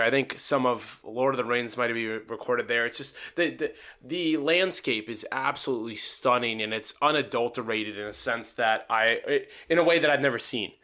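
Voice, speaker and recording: A man with a bright, forward voice of average pitch talks casually on a podcast. He is wordy and likes to repeat a phrase to drive it home. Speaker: podcast participant.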